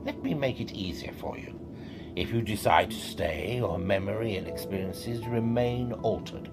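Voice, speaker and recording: An actor playing a solemn, mysterious celestial guide in an audio drama, hushed, breathy and portentous. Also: male voice, fairly low pitch, moderate pace, over music. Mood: grave.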